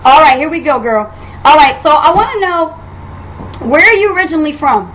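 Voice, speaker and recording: A woman's voice: high voice, lively intonation, fairly quick, fairly bright tone, slight background noise, low-quality recording. A woman hosting an interview show, enthusiastic and familiar with her guest. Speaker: talk show host.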